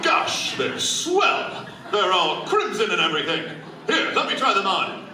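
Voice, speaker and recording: A man's voice: sits high, big pitch swings, unhurried, bright, forceful tone, faint music behind, full character voice. A man playing an earnest, self-important superhero in a comic radio play, overdramatic and boyishly eager. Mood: gleeful. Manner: overdramatic, hammy declaiming. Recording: echoey hall, far mic, faint noise.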